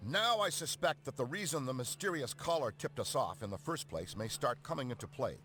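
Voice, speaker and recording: An actor playing an earnest, animated ghost-hunting scientist who explains things in a scripted video game. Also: male voice, medium pitch, brisk, faint music behind. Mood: wary. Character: upbeat, earnest male ghost-hunting scientist, park adviser.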